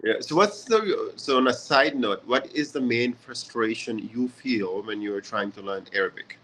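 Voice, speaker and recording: A man hosting a casual online lesson, relaxed and chatty, with many fillers. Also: mid-range voice, normal speed, slight background noise, rough recording. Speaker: session host. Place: hotel in a city abroad.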